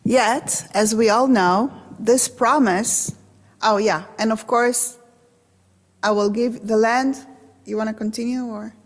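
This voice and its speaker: A woman's voice: on the high side, fairly even intonation, takes it slowly. A woman with a Russian and Israeli accent giving a conversational, interactive lecture to a congregation, asking the audience questions. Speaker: guest lecturer. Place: synagogue hall. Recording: reverberant hall.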